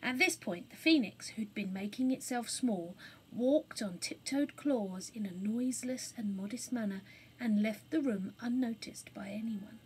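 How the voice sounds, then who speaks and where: A woman reading a children's story aloud as an expressive storybook narrator. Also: a little high, unhurried, slight background noise, low-quality recording.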